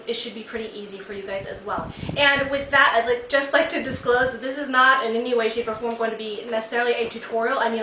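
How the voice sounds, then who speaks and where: A woman's voice: a little high, bright, forceful tone, slight background noise. A woman hosting her beauty vlog in a casual, chatty way with lots of ums and giggles, sounding stuffed up from a cold. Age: adult aunt of a teen. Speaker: beauty vlogger host. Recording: roomy sound, mic at a distance, faint music.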